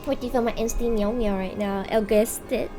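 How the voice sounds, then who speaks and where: A woman's voice: fairly high pitch, fairly even intonation, normal speed, poor audio. A woman livestreamer chatting casually and playfully with viewers, using gamer slang and the odd swear word, often thinking aloud. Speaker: live game streamer. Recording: music behind the voice. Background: video game soundtrack.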